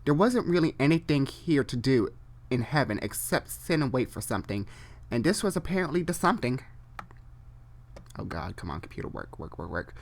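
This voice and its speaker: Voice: medium pitch, big pitch swings, fairly quick. A person doing a comedic dramatic reading of amateur online fiction, theatrical and unfiltered, breaking off into casual asides and re-reading stumbled phrases. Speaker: reader and host.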